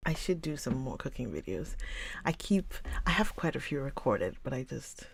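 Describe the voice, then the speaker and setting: A woman hosting a livestream, chatting casually with viewers in a rambling way and often dropping into a soft whisper. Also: very deep voice, sing-song, moderate pace, creaky, slightly rough. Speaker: livestream host reading books. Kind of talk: casual chat with live viewers.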